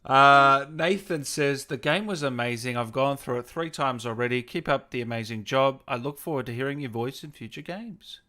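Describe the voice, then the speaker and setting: A man's voice: mid-range voice, normal speed, few pauses, sharp tone. A man hosting a casual livestream interview, conversational and informal as he reads out questions and chats. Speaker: interview host.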